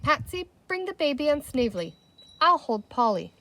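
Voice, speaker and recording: A woman voicing a cheerful, kind but bossy young girl in a storybook, speaking firmly and briskly. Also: high voice, sing-song, noisy background, pitched above the performer's own voice. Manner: firm, brisk, emphatic.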